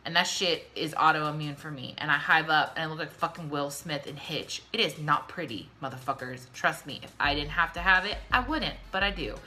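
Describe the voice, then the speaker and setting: A woman's voice: sits low, fairly quick, few pauses, bright, forceful tone. A drunk woman hosting a casual, chatty question-and-answer video, blunt and brash, swearing freely.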